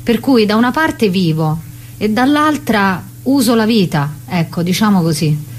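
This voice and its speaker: A woman speaks as a guest author and actress in a radio conversation. Her voice sits at a middle pitch, and she has a reflective, searching way of putting things. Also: animated, unhurried. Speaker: interviewed playwright and actress.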